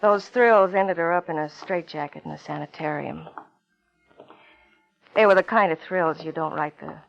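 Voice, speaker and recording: Casting: world-weary young woman, low-pitched, wry and wounded, old radio drama with music underneath. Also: moderate pace, gravelly, lo-fi sound. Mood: wry, confessional. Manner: wry, bitter, vulnerable. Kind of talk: 1950s radio drama acting.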